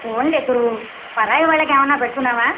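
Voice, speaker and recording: A woman's voice: sits high, fast talker, close to an everyday voice. A woman playing a practical older wife in a radio comedy drama, chiding and exclaiming in a matter-of-fact way. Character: practical, scolding older wife and mother-in-law. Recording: echoey, narrow-band, noisy old radio recording.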